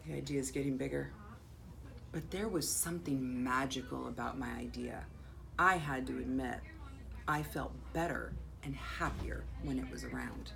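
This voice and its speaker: An American woman, a school principal, reading a children's picture book aloud expressively, with dramatic pauses and drawn-out words. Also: a bass voice, creaky. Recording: faint background noise.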